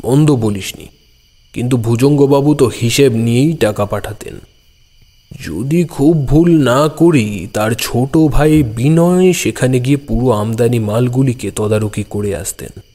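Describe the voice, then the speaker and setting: An actor playing an astute, self-assured schoolteacher detective in an audio drama, brisk and authoritative. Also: male voice, medium pitch, warm tone, close to an everyday voice. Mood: pondering. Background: music.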